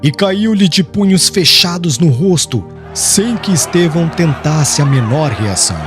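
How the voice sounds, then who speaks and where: Animated Brazilian male narrator over music. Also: a little high, measured pace. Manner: solemn, theatrical, formal. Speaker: audiobook narrator. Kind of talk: dramatic scripted literary narration. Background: background music.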